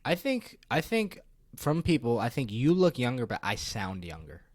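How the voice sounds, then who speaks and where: A man's voice: mid-range voice, wildly swooping pitch, normal speed, no room sound, clean studio sound. A young man co-hosting a podcast in casual chat, often chiming in to finish his friend's sentences. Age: young adult. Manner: interjecting, finishing the other host's sentences. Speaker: podcast co-host.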